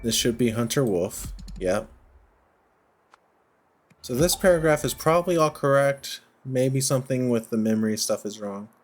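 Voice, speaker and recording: A man giving casual gameplay commentary, thinking out loud in short, halting phrases. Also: mid-range voice. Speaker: let's play host. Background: music.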